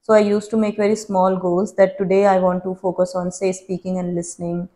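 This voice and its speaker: A woman's voice: fairly low pitch, little pitch movement, fairly quick, mellow. A woman in her thirties, a native Hindi speaker, answering viewer questions in an earnest, reflective casual vlog. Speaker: vlogger.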